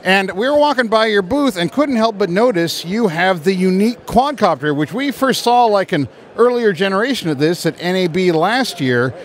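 A man's voice: sits high, animated, normal speed, few pauses. A man in his forties hosting an on-location interview with a handheld microphone at a trade show. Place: busy trade show floor.

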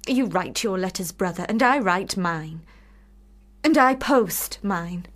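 An actress in a period radio drama playing a lovelorn, sharp-witted sister, speaking with formal, deliberate, cutting irony. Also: female voice, mid-range voice, animated. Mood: wounded, insistent.

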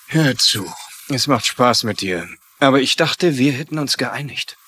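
An actor playing an evasive, placating playboy stockbroker in a dubbed TV drama. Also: male voice, medium pitch, expressive, unhurried, sharp tone, breathy edge, full character voice. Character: evasive, twice-divorced male playboy stockbroker. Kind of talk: German-dubbed TV drama acting.

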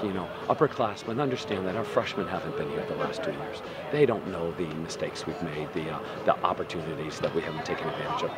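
A man in his forties, a soccer coach giving a postgame interview on a stadium field at night, talking reflectively with many "you know"s and restarts. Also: deep voice. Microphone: handheld camera mic, close framing.

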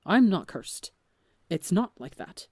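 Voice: medium pitch, normal speed, mellow, dry recording, clean studio sound, natural voice. A reader voicing a flustered, pleading pirate captain. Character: flustered guilty older male pirate captain.

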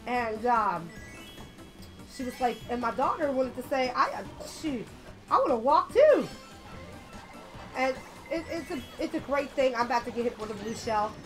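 A young woman with a slightly raspy voice from being sick, chatting casually and swearing as she plays a racing game on a headset mic. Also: fairly high pitch, animated, normal speed, pauses often, faint music behind, rough recording. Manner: chatty, swears often, loud outbursts at the game. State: a little sick. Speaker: gaming channel host. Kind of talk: casual let's-play commentary.

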